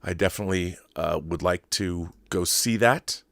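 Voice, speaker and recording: Male livestream host, middle-aged, lowish voice, casual and opinionated fan talk with halting restarts, close dry sound. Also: vocal fry, fairly bright tone, dry recording. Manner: halting, rambling, repeats words while thinking. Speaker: host of a film fandom livestream. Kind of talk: casual commentary, answering viewer messages.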